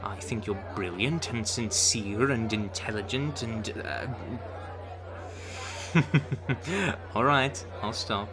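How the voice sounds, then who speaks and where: A man's voice: on the low side, slow pace, long pauses, over music, crowd noise. A man playing a charming, teasing, smitten young bard in an intimate ASMR romance roleplay, coaxing the listener. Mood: smitten, tender. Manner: teasing, coaxing, with soft chuckles and hesitant asides.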